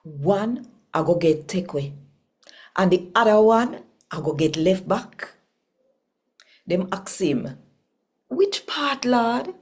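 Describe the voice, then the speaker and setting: Slow, mellow Jamaican woman reading scripture. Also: mid-range voice, very animated. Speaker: channel host, scripture reader.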